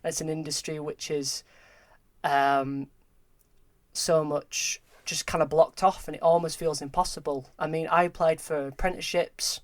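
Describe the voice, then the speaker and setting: Halting young man, dry sound. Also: fairly high pitch, level tone, dry recording. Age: early 20s. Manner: halting, repeats words, open about struggles. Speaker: podcast guest, film graduate. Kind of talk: candid conversation.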